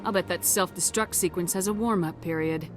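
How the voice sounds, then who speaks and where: A woman's voice: lowish voice, brisk, music in the background, close to an everyday voice. A woman playing a composed, firm human military commander in a video game, direct and probing. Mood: firm, questioning. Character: female human space soldier commander, composed, firm, diplomatic.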